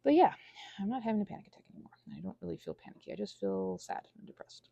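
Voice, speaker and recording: Woman, casual solo rambler, wandering and self-deprecating, swooping pitch, recorded close and dry. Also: huge pitch swings, rapid-fire, mellow, dry recording. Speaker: audio creator rambling to listeners.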